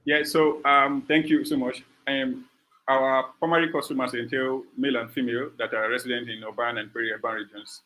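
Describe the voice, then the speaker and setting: A man with a bright, forward voice speaks as a startup founder. He answers questions off the cuff on an online call, at a middling pitch. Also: steady tone, fairly quick, poor audio.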